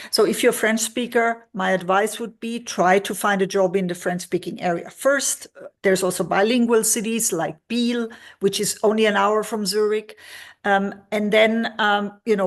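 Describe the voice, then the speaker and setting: A woman from Germany, a career expert answering interview questions over a video call, talking freely with many fillers. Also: mid-range voice.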